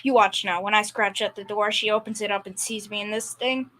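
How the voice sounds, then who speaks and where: A woman's voice: medium pitch, steady tone, a little fast, fairly bright tone, natural voice. A young female performer playing a bumbling, confidently clueless working-class dad in a school old-time radio comedy, with folksy bad grammar. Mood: sly, pleased. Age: young teen.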